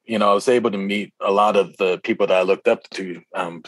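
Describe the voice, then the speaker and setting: A man from Hawaii, a rapper being interviewed, telling stories in an informal, halting way that often doubles back. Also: on the low side, fairly even intonation, dry recording. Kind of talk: casual interview storytelling.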